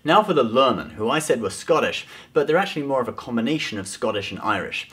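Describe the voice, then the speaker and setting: A man's voice: medium pitch, big pitch swings, fast talker, fairly bright tone, faint music behind. A man in his twenties hosting a scripted explainer video about films, speaking to camera. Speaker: host of a film trivia video. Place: small indoor room at home.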